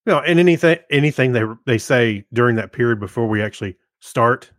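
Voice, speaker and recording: A man co-hosts a podcast with loose, casual chat. His voice is of average pitch, recorded dry with little room sound. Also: lively intonation, brisk, fairly bright tone, no room sound. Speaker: podcast co-host.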